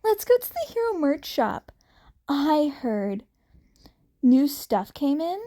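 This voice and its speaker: A bubbly teenage girl, voiced by a woman in an audiobook story. She is high-pitched and animated, friendly and full of cheer. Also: takes it slowly, full character voice. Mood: cheerful. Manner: calling out, cheering, enthusiastic. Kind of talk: fan fiction audiobook character voice.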